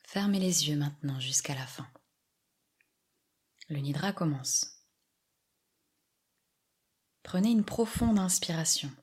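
Very slow, husky-soft woman guiding relaxation. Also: low voice. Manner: soft, breathy, soothing delivery with long pauses. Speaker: yoga nidra relaxation guide. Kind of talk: guided meditation, slow scripted instruction.

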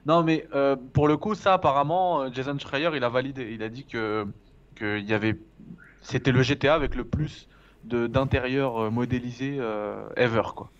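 A man's voice: medium pitch, steady tone, moderate pace. Chatty French man, slightly dull recording. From France. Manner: chatty storyteller, many restarts, laughs at own anecdotes. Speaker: podcast co-host. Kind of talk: casual chat.

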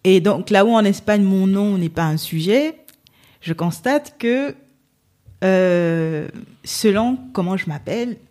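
A woman's voice: mid-range voice, slow, darkish tone. A woman in her thirties from Gabon, a lawyer guest talking casually in an interview, often restarting her sentences. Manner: hesitant, many restarts.

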